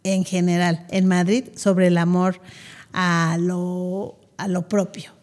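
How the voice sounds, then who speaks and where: Woman interviewer, warm and curious. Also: on the low side, level tone. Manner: warm, encouraging, quick questions. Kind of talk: casual interview conversation.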